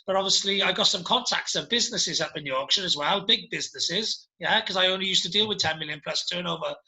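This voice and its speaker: A man's voice: sits high, quick pace, pushed and bright. A man with a Welsh accent hosting a podcast, chatting casually in a direct, talkative way. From Cardiff, Wales. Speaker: podcast host. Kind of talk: casual chat.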